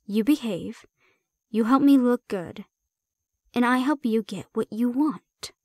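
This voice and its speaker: A woman's voice: a little high, slow, mellow, no echo, clean studio sound. Woman acting a bold, sardonic female handler. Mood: firm, challenging. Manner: blunt, teasing, assertive. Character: bold, cocky non-human female handler, sardonic and unafraid. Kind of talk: audio roleplay acting.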